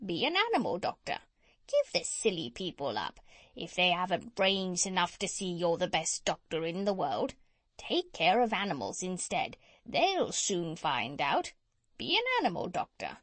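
Woman voicing a bossy, high-voiced storybook parrot, swooping in pitch. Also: wildly swooping pitch, moderate pace, fairly bright tone, no room sound, full character voice. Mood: insistent. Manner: insistent, instructive. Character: bossy, proud, clever old female parrot.

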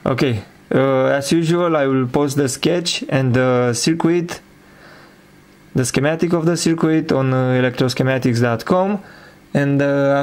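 A man giving an informal hands-on demonstration as presenter of an electronics project, with hesitant, halting phrasing. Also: medium pitch, a little mellow.

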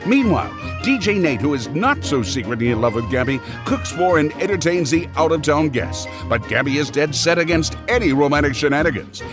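Lively actor as male serial narrator over music. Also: a little high, brisk, few pauses, lightly acted. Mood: storytelling. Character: male storyteller recapping a radio soap episode. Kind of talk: scripted narration. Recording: music behind the voice.

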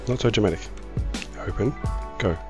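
A middle-aged man with a croaky voice, giving casual, easygoing gaming commentary as the host of a video. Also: on the low side, moderate pace, over music. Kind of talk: casual Minecraft let's-play commentary.

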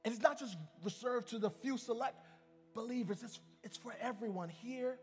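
High-voiced emphatic male pastor preaching. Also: normal speed, long reverb tail, faint music behind. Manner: emphatic, with repeated restarts and calls to the congregation. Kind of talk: sermon. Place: church sanctuary.

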